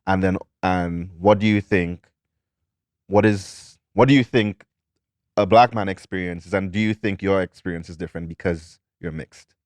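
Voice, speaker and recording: A young man hosting a podcast, asking questions slowly and hesitantly while searching for the right words. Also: low-pitched, darkish tone, no room sound. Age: young adult. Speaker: podcast host. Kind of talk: casual interview.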